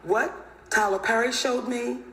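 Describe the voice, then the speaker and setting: A woman guest in a televised interview. She speaks in a deliberate, insistent way. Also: fairly high pitch, fairly even intonation, a bit bright. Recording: echoey clip with faint noise.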